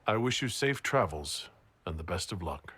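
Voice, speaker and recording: Earnest hero with husky low voice. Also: male voice, very animated, normal speed, sharp tone, no room sound, exaggerated voice. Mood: sincere, concerned. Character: earnest, caring male heroic leader. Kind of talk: dramatic video game dialogue.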